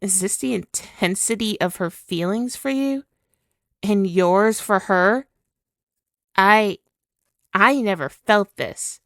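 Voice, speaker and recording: A tormented, possessive spirit speaks through a stolen female body, acted by a woman. The delivery is theatrical and unhurried, lingering on words at a middle pitch. It is an ASMR roleplay villainess, recorded very dry. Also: a bit bright, dry recording. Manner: slow, theatrical, brooding, dwelling on words. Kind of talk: ASMR dramatic roleplay monologue.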